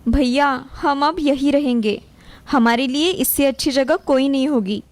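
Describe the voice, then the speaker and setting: A woman voicing a sweet, timid young girl who is a loyal sister, speaking softly and earnestly. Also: sits high, a little slow, no room sound. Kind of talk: cartoon story voice acting.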